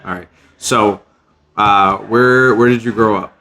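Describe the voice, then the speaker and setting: A man from Massachusetts hosts a casual podcast interview. His voice is bright and forward. He laughs easily and gropes for words. His questions are loose and friendly. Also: lowish voice, fairly even intonation, a little slow, creaky. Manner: laughs easily, hesitant, fills with "like". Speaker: podcast host. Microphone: podcast mic.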